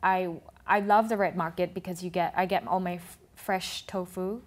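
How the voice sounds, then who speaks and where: Woman, lowish voice, talk-show guest, explanatory and halting. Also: fairly even intonation. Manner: thoughtful, explanatory, speaks in halting restarts. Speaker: food and travel blogger, guest. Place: TV studio.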